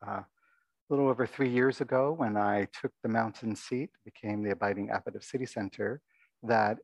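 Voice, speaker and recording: Soft-spoken man with a gravelly low voice. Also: dry recording, rough recording. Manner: reflective, halting, many fillers. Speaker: abbot giving a dharma talk. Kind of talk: dharma talk. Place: meditation hall.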